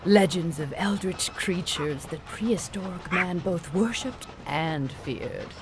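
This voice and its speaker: An actress playing a chatty, eccentric older widow who tells folklore in a grandiose, theatrical way in an audio drama. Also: female voice, fairly low pitch, slow pace, noisy background. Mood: eager, lecturing. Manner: theatrical storytelling, grandiose diction.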